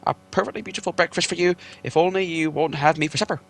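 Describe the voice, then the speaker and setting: A man's voice: a little high, fast talker, vocal fry, dark tone, voice pushed up. A man reading aloud, voicing a small, frightened male hobbit who stammers and pleads politely.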